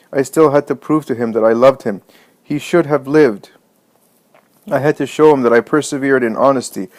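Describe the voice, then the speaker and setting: A man's voice: mid-range voice, a little mellow, natural voice. A man reading a devout, grief-stricken young woman in a story, pleading and lamenting in anguished repetitions.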